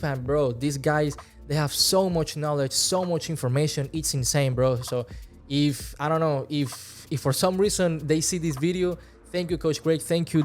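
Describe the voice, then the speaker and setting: A man in his twenties from Venezuela with a Venezuelan Spanish accent, talking earnestly to camera in his second language, close to a studio mic in a small room. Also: fairly high pitch. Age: 20s. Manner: relaxed, earnest, gestures with hands while talking. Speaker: fitness vlogger. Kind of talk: casual talk to camera. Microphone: large studio mic close in front.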